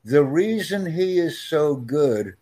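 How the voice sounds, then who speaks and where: Male tutor, slow and clear, a bit high, friendly and insistent. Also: expressive, warm tone. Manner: speaks slowly and clearly, friendly, emphatic repetition. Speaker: English tutor. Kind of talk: slow, clear teaching talk.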